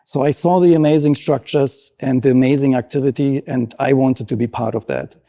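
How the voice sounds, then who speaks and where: A man's voice: medium pitch, steady tone, a little fast, mellow. A middle-aged man with a German accent, a marine scientist explaining his work in an interview with earnest enthusiasm.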